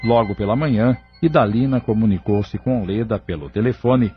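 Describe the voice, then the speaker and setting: Lowish-voiced male audio novel narrator. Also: normal speed. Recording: muffled lo-fi audio with music.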